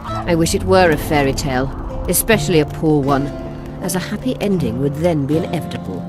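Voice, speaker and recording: An actress playing a self-assured, commanding sorceress in a video game, speaking briskly and formally. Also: female voice, deep voice, close to an everyday voice. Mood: composed, businesslike. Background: game music.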